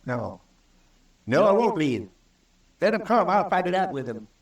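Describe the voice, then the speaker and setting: Defiant frontier homesteader, slightly distant. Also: male voice, high-pitched, sing-song, a little slow, full character voice. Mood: defiant, resigned. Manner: defiant, plain-spoken, folksy. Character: stubborn, proud male homesteader on the frontier. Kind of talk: old-time radio western acting. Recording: distant old radio recording.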